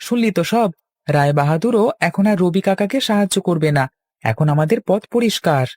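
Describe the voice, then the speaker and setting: A man's voice: high voice, lively intonation, normal speed, darkish tone, no echo, studio quality. A man voicing an earnest, scheming village boy in a cartoon, with an eager, emphatic delivery. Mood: determined. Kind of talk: cartoon voice acting.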